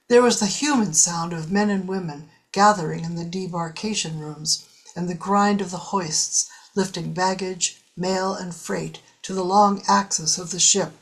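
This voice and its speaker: A woman's voice: lowish voice, poor audio. A woman narrating a science fiction story in a scripted, audiobook style.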